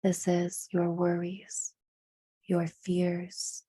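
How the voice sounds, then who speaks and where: A woman leading a guided meditation in a hushed, soothing manner, with deliberate phrasing and frequent pauses. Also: on the low side, completely flat delivery, husky.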